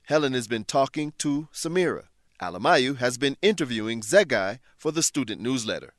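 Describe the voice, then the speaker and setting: A man hosting and narrating a scripted language lesson, explaining things in a clear, measured teacher's manner. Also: medium pitch, lively intonation, fairly bright tone, dry recording, clean studio sound. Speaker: host and English teacher.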